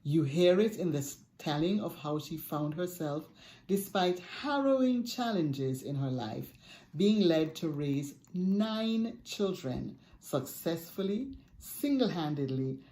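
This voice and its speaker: An older woman reads aloud at an unhurried pace, her mellow, lowish voice rising and falling with lively storytelling emphasis. She sounds close to a desk webcam in a quiet home study. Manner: expressive, warm storytelling with dramatic emphasis. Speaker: author reading a foreword. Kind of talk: reading aloud from a book. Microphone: webcam at desk, close framing.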